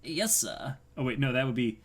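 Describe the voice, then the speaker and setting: A man co-hosting a manga read-along, reading aloud and reacting casually with goofy, excitable exclamations. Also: mid-range voice, normal speed, slightly rough.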